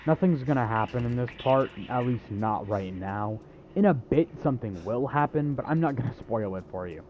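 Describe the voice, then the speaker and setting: A man's voice: medium pitch, animated, normal speed, highs cut off, a little hiss or hum. A man giving a casual video game walkthrough in an offhand way, with wry asides. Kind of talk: casual gameplay walkthrough commentary.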